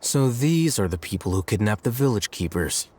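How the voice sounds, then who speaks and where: Cool, low-voiced young scholar. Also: male voice, moderate pace. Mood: cool, pressing. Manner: calm, curt, condescending. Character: cool, shrewd young male scribe interrogating captives.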